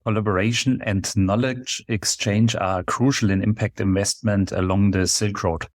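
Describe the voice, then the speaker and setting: Male podcast host, low register, formal scripted delivery, close dry sound. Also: steady tone, normal speed, no room sound. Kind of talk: scripted podcast introduction and interview questions.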